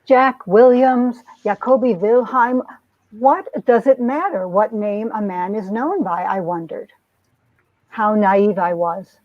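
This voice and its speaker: A woman's voice: mid-range voice, slow pace, no echo, close to an everyday voice. A woman playing an earnest young heroine telling her troubled story in a theatrical, emotive romance-comic reading. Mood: wistful, ominous. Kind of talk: dramatic comic-book reading, melodramatic first-person narration. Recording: dull, lo-fi recording.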